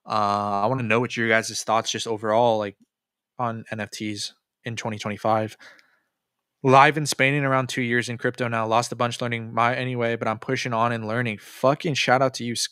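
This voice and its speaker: A man's voice: medium pitch, pushed and bright, clean tone, dry recording, studio quality. A young man livestreaming about crypto, reading out chat comments and answering in a loose, profane way, with lots of fillers and restarts, tired from staying up late.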